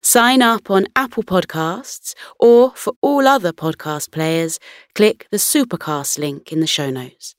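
Female narrator for kids' bedtime tales, gentle and very unhurried, close dry sound over music. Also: lowish voice, no room sound. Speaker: podcast host and bedtime story narrator. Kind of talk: scripted children's bedtime story narration.

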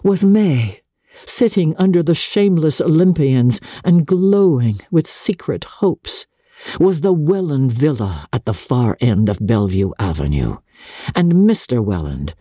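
Slow, husky woman reading dramatically. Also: very deep voice, very animated, warm tone, no room sound, studio quality. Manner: measured, theatrical, with deliberate pauses. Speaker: narrator, audiobook reader. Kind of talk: dramatic literary narration.

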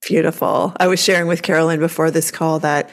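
A woman hosts a podcast chat with a slightly low voice. She is enthusiastic and gushes over her guests. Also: level tone, a little fast. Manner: enthusiastic, gushing. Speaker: podcast host. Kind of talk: casual podcast interview.

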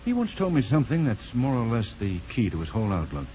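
An actor in a 1950s radio drama playing a calm, kindly hospital doctor with a measured, gently probing manner. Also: male voice, mid-range voice. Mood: calm, explanatory. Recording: muffled, noisy old radio broadcast.

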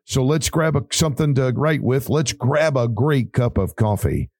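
A man who pastors and hosts a podcast, talking earnestly in a folksy preaching style, his voice raspy and hoarse. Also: mid-range voice, expressive, normal speed, noisy background. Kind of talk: casual preaching podcast talk.

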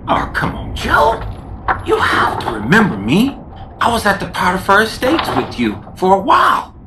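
Male actor for taunting, familiar convict in audio drama; very animated casual delivery amid noisy ambience. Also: sits high, normal speed. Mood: surprised, familiar. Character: brash, taunting male prisoner, old acquaintance.

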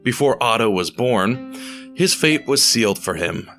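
A man reading a scripted story as narrator, in a measured, dramatic way with deliberate pauses. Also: mid-range voice, animated, fairly bright tone. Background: music.

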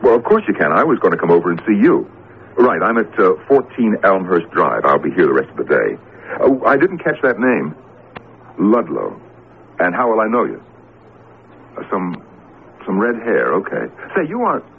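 An actor playing an anxious, excitable male professor in a 1970s radio drama, hesitant and exclaiming as he talks. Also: low voice, moderate pace, creaky, heavily acted. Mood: polite, curious. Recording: noisy lo-fi radio broadcast.

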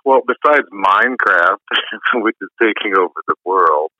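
A man with a low voice, giving easygoing, rambling interview answers full of fillers. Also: animated, measured pace, no room sound. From New York. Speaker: musician guest. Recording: dull lo-fi dry sound.

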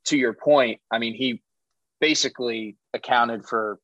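A man co-hosting a sports podcast, chatting casually with slang and quick interjections. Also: mid-range voice, fairly bright tone, no room sound. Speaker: podcast co-host. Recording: dry, dull lo-fi sound.

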